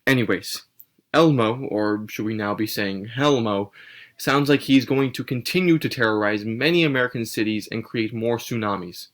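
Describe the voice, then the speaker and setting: Guy acting as a rattled tv news anchor, mid pitch, halting live report delivery. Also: a bit bright, lightly acted. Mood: uneasy. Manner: hesitant, uneasy live reporting. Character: shaken male local TV news anchor. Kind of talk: news anchor in a fictional disaster broadcast.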